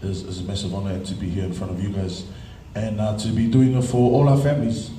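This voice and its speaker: A man's voice: on the low side, little pitch movement, fast talker, mellow, slight background noise. A man, a singer, answering a press question in a hesitant way with many fillers. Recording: echoey room, distant mic.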